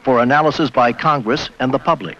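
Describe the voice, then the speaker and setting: A man's voice: medium pitch, fairly quick, fairly bright tone, a little hiss or hum. A man reading scripted narration for an educational documentary, on an old film soundtrack. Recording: dull 1980 film soundtrack. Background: faint music.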